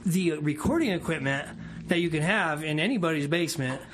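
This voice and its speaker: A man's voice: a little high, animated, fairly quick, fairly bright tone, slight background noise. A man, a band musician and guest, chatting casually in an easygoing, jokey way. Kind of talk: casual chat.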